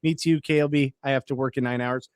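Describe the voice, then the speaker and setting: A man at a middle pitch hosts a late-night live stream, rambling casually to his viewers and reading their comments aloud. His voice is wearing hoarse after hours of talking. The sound is dry and close, with no room around it. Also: fairly even intonation, fast talker, no echo. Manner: rambling, reads chat aloud, restarts words. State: voice going hoarse.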